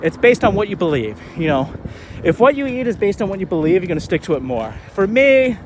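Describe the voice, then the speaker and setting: A man in his thirties talking casually in a vlog, relaxed and rambling with lots of fillers, recorded outdoors while skating. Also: high-pitched, a little fast, noisy background. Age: 30s. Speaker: vlogger.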